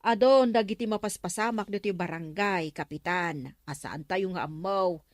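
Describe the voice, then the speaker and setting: A woman's voice: on the low side, moderate pace, dry recording, clean studio sound, lightly acted. A woman with an Ilocano accent acting an exasperated, earnest village official in a comedy drama. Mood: worried. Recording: music behind the dialogue.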